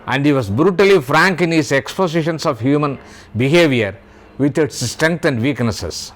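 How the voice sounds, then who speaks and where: A man with an Indian accent, hosting his own channel and talking about literature in a slow, careful way, sometimes spelling words out letter by letter. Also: a little high, animated. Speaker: YouTube host, writer.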